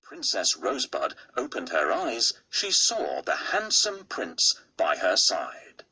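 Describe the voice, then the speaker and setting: Slow, gravelly man telling a bedtime story. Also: deep voice, huge pitch swings, bright, forceful tone, poor audio. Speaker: narrator. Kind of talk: storybook read-aloud.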